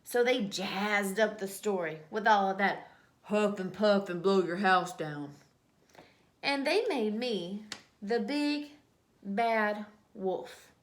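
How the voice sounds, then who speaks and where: A woman voicing a self-justifying, folksy male wolf in a children's storybook read-aloud, with dramatic mock-innocent delivery. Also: medium pitch. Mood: aggrieved. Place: small indoor room at home.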